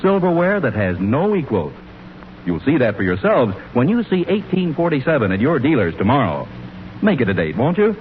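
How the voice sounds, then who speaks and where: Male 1940s radio announcer, mid-pitched with sweeping intonation, polished sales delivery, muffled period sound. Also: wildly swooping pitch, moderate pace, a little hiss or hum. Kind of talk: 1940s radio scripted narration and sponsor pitch. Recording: muffled old radio recording.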